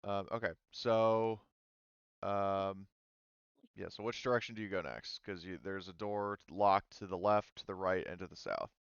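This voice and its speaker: A man with a deep, smooth voice runs a tabletop game as game master. He explains things informally and hesitantly, in a dry, slightly dull recording. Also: steady tone, moderate pace, dry recording. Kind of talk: casual tabletop game narration.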